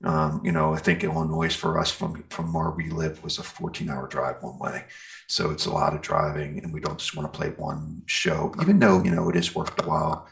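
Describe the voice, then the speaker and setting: A man speaking as a musician guest in a casual interview, telling stories in a rambling, halting way. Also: a bass voice, animated, gravelly, fairly bright tone. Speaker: guest, metal band singer and guitarist. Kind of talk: casual interview chat, recounting festival gigs.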